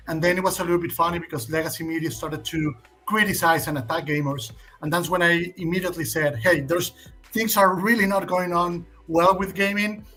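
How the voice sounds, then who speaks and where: A Mexican man with a Spanish accent answers as a guest on a livestream, thinking aloud with plenty of fillers. His voice sits a bit high for a man and has a bright, forceful edge. Faint music plays behind him. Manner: opinionated, hesitant with frequent fillers. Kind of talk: casual stream Q&A.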